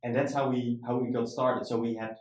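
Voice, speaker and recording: A man's voice: medium pitch, flat delivery, a little fast. A man, a startup founder, talking casually in an interview, rambling and often checking in with "right?". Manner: rambling, frequent "right?" tag questions. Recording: roomy echo.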